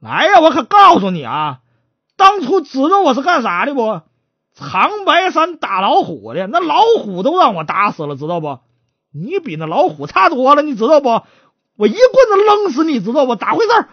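A woman acts out a hot-tempered old-time magistrate from a spoken tale. The voice is high and leaps about as he barks folksy threats at his underlings. The recording is dry and somewhat dull. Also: wildly swooping pitch, moderate pace, slightly rough, no echo, exaggerated voice. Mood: threatening, angry. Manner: barking, threatening, folksy interrogator. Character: bullying, hot-tempered county magistrate, a man.

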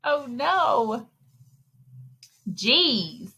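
A woman in her thirties reading a picture book aloud to children in an expressive, exclaiming way, speaking directly to her young listeners, with a slightly husky voice. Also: fairly high pitch, drawn-out words, rough recording. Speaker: children's librarian and story time reader. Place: indoor room, recorded screen share with webcam.

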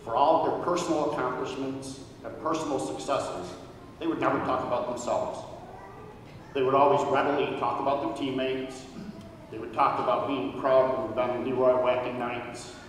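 A man's voice: mid-range voice, fairly even intonation, moderate pace, slight background noise. Middle-aged guy reading an earnest speech at a podium, big echoey hall, mic far off. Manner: earnest, formal tribute. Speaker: speaker at a hall of fame induction. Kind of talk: prepared speech read at a podium. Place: large auditorium stage. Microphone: podium microphone, recorded from far.

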